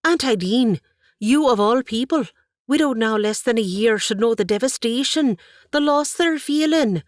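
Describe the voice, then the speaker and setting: A woman's voice: fairly high pitch, very animated, measured pace, dry recording, studio quality, pitched above the performer's own voice. A woman voicing an earnest, stubborn young woman who speaks with rising passion. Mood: impassioned. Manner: emotional, raised voice.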